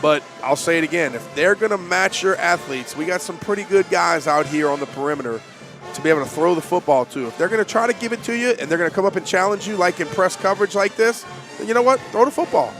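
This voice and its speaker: Stuffy-nosed man calling high school football. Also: lively intonation, fast, music in the background. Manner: coach-like, folksy analysis. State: stuffy, nasal from a cold. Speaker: color commentator.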